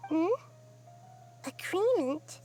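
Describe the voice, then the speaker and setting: A woman playing a kind, wise young girl deity in a video game, soft, gentle and reassuring. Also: very high-pitched, lively intonation, very slow, faint music behind, full character voice. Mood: puzzled.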